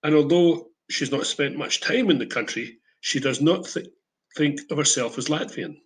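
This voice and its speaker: Older Scottish man, reflective and measured. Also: fairly high pitch. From Scotland. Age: 70s. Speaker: English teacher. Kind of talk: reflective prepared talk.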